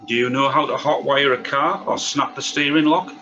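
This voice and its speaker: A man's voice: medium pitch, fairly even intonation, moderate pace, sharp tone. Casting: actor for a forthright, demanding male role, mid-range voice, audio drama read, noisy lo-fi recording. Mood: blunt, practical. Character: blunt, demanding man. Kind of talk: scripted drama table read. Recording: dull, clearly noisy recording.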